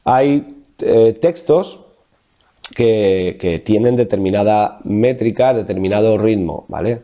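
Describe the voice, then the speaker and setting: A man's voice: on the low side, expressive, takes it slowly, warm tone, muffled recording. A man from La Mancha with a Castilian Spanish accent, a vocal coach in his thirties explaining diction exercises in an interview, thoughtful, with many fillers and restarts. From Spain, Castilla-La Mancha.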